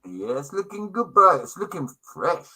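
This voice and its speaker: A man's voice: a little high, big pitch swings, moderate pace, bright, forceful tone. A middle-aged British man in casual livestream chat, talking in a chummy, opinionated way with slang and swearing. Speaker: livestream co-host.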